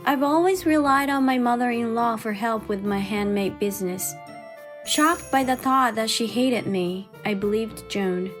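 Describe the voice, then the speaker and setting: A woman voicing a forty-year-old housewife and crafts artist in a dramatized story, expressive and exasperated yet caring. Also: fairly high pitch, natural voice. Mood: measured narrating. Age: 40s. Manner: expressive, indignant in dialogue, measured when narrating. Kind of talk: dramatized first-person story narration and dialogue. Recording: background music under the voice.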